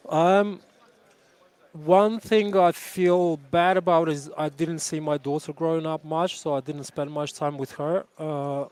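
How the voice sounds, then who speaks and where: A man in his thirties with a smooth, clear voice sitting a little high for a man. He answers in an earnest, reflective way, pausing and restarting as he gathers his thoughts. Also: fairly even intonation, darkish tone. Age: 30s. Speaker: jiu-jitsu coach. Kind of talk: candid interview answers.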